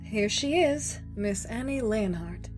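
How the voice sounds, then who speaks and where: A woman playing a kindly, motherly caretaker in a drama, with a gentle, encouraging manner. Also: mid-range voice, lively intonation, takes it slowly, music in the background. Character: Kayla, kindly, motherly female caretaker and scientist. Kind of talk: dramatic voice acting in an audio drama.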